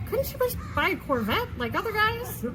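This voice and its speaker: An actress playing a practical, teasing wife with an affectionately sardonic manner in a comic stage play. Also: female voice, extremely high pitch, sing-song, moderate pace. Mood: soothing, exasperated. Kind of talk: stage comedy acting. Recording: noisy live stage play recording.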